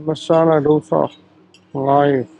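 A man's voice: fairly high pitch, flat delivery, slow, gravelly, mellow. A man chatting casually to viewers as a travel vlogger, often with a friendly "so, sir" filler, in a hotel breakfast area on a camera mic. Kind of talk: casual travel vlog chat. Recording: dull lo-fi sound with faint music.

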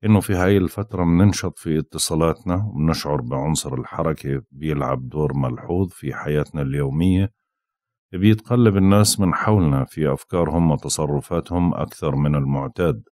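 Very deep-voiced tired man. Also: mellow, no echo, studio quality. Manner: slow and halting, with frequent drawn-out "uh" pauses. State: tired and unwell, voice strained. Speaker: astrologer giving daily horoscopes. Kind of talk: daily astrology forecast monologue. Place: indoors, with possible street sounds outside.

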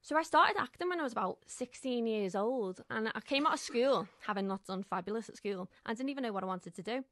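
A young woman, a voice actress guest telling lively stories with quoted voices in a radio interview. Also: a little high, no echo, studio quality. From Merseyside, England. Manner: bubbly, fast storytelling with voiced quotes. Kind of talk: lively anecdotal chat. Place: radio studio. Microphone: studio mic.

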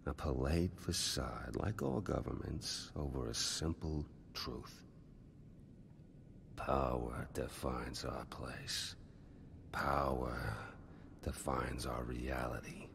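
A man playing a menacing, ambitious older crime boss in a video game, gravelly and deliberate, with theatrical pauses. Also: rumbling low, steady tone, fairly bright tone, slight background noise, full character voice. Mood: menacing, grandiose. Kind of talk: video game dramatic dialogue.

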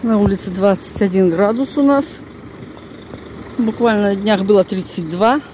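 A woman's voice: mid-range voice, normal speed, mellow. An older woman with a Northern Russian accent, chatting and grumbling colloquially to viewers as she walks along with a phone. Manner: talking while walking. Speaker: vlogger. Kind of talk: casual spoken vlog, talking to viewers. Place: outdoors on snowy residential streets, briefly stairwell and bus. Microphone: handheld phone. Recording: noisy handheld phone recording, street ambience.

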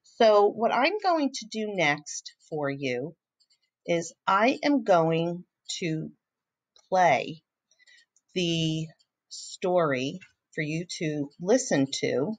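Slow, low-voiced woman teacher. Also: lively intonation. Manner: deliberate, often checking with "all right?". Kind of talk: remote reading lesson, explaining to children. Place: small room at home, indoors. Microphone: computer mic on a screen-share video call.

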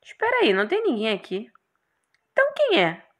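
A woman's voice: on the high side, huge pitch swings, fairly quick. A woman voicing a brash, irritable young male hero in a game, exclamatory and sarcastic. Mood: irritated.

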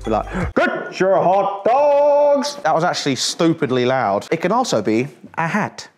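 A man's voice: fairly high pitch, very animated, unhurried, soft background music. A man in his thirties hosting a casual vlog, chatting enthusiastically and joking while walking through a warehouse under construction. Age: 30s. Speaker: vlog host.

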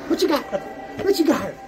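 A woman's voice: sits high, full character voice. A woman voicing a silly, cheeky, foul-mouthed hand puppet in a playful, exclaiming, sing-song way during an improvised puppet show. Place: indoor corner with bookshelves, behind puppets.

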